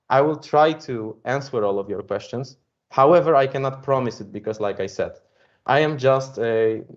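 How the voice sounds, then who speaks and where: A man with a Polish accent teaches an online coding class in English, his second language, with a voice of ordinary pitch. He speaks modestly and hesitantly, filling pauses with fillers. The sound is a little dull and lo-fi, as from a computer mic. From Poland. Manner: modest, careful simple wording. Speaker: programming instructor. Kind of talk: online tutorial talk.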